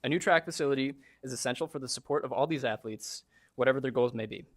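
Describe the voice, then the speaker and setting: A man's voice: mid-range voice, fast, slight background noise. Earnest man reading a prepared statement. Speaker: track coach. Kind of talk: prepared public comment. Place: school board meeting room.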